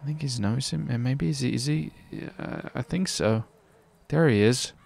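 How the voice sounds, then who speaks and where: A man with a gravelly voice chatting casually and slangily while playing a roleplay game. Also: mid-range voice, moderate pace, darkish tone. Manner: slangy, says dude and baby often. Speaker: gaming video host, roleplay player. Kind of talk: casual gameplay roleplay chat. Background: car game sounds.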